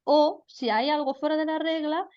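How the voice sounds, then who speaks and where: A woman's voice: sits high, fairly even intonation, normal speed, muffled recording. A woman giving an online lecture to trainee teachers, explaining patiently with frequent fillers. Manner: explanatory, addresses students as vosotros, frequent fillers.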